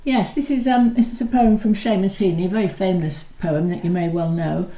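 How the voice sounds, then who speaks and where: Woman reading poems aloud, echoey room. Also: medium pitch. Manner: measured, expressive reading, slowing on key lines. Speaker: poetry reader. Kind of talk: poetry recital with spoken introductions. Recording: roomy echo.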